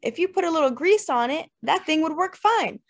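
A woman's voice: extremely high pitch, animated, brisk, pitched above the performer's own voice. A woman voicing a laid-back young man telling a joke conversationally in an audiobook. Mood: joking. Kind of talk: dramatized literary audiobook dialogue.

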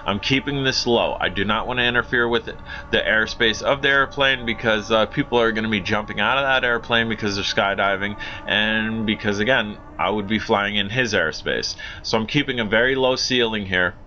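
An American man with a fairly low, forceful voice talks through a casual drone review. He is informal and enthusiastic, often repeating himself for emphasis. The sound is dull and lo-fi, with faint noise behind him. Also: normal speed. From Pennsylvania, United States. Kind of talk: casual flight review commentary.

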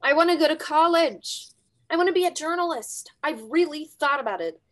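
An actress playing a defiant grown daughter, emotional and argumentative, in a staged reading over video call. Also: female voice, high-pitched, lively intonation, normal speed, a bit bright. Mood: defiant, upset. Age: young adult. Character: defiant grown daughter, young ballerina standing up to mother. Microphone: video call at desk distance.

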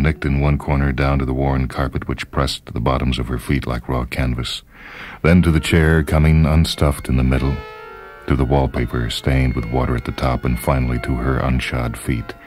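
A man reading literary short fiction as a radio host, in a measured, dramatic storytelling manner. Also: a bass voice, fairly even intonation, a little mellow. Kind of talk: literary scripted narration. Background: background music.

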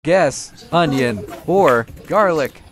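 Slow quiz announcer in loud noise. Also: expressive. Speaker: quiz host. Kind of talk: short guessing-game announcements. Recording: very noisy, clicks and rumble.

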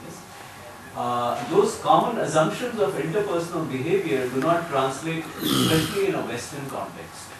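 A man in his thirties with an Indian accent, giving measured, hesitant remarks as a panelist at a conference table. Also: fairly high pitch, sing-song. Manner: measured, hesitant with many fillers. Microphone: table mic, far camera. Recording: echoey hall, distant mic, noise.

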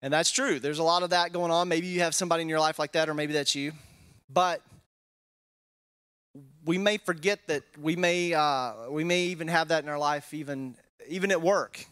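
Texan man, 40s, pastor; easygoing Bible talk on a handheld mic; slightly raised pitch. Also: fairly even intonation, normal speed, a bit bright, clear, resonant tone. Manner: casual, tags statements with "right?", thinks aloud with fillers. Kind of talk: conversational Bible teaching. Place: wood-paneled church hall, indoors. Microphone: handheld microphone.

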